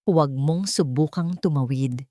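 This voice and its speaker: A woman's voice: low voice, normal speed, dark tone, no echo, clean studio sound, close to an everyday voice. A woman voicing a cold, mocking rich young man, speaking with smug condescension.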